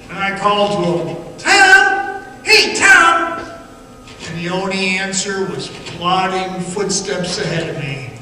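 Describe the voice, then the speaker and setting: A man playing a frightened miner recounting a haunting underground ordeal as a halting, suspenseful radio theatre monologue. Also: high-pitched, bright, forceful tone, full character voice. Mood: uneasy dread, then desperate calling, then fearful, tense. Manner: halting, suspenseful, dramatic storytelling. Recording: noisy, very echoey hall, distant mic.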